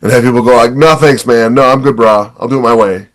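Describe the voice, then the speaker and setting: A man's voice: medium pitch, steady tone, fast. A man co-hosting a podcast in casual banter, with a wry, blunt way of talking. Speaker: podcast co-host and author.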